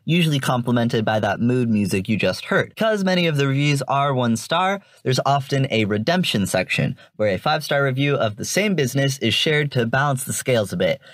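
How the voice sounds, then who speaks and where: A man's voice: medium pitch, animated, fairly quick, few pauses, dry recording, studio quality. A man hosting a commentary podcast, explaining things informally in a semi-scripted way. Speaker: podcast host and reviewer.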